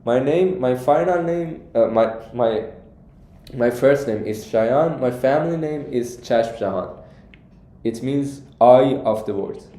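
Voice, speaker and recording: Young man, Iranian accent, soft dark tone, halting casual live-stream coach, roomy echo. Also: medium pitch, animated. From Iran. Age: young adult. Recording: echoey, roomy sound.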